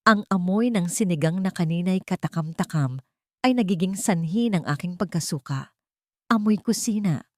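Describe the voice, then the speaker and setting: A woman with a Filipino accent narrates a first-person family drama, reading in an emotional, measured way with dramatic pauses. Her voice sits fairly low. The recording is dry and close, with no room sound. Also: no echo. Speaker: audiobook narrator.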